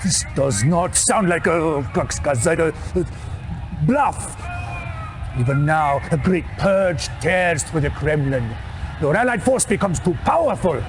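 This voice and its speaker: An actor playing a defecting Soviet major with a Russian accent, grave, theatrical and halting in a game cutscene. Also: male voice, fairly high pitch, expressive, music in the background. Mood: anguished. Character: anguished Soviet major, a defecting atomic strategist. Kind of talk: dramatic game cutscene acting.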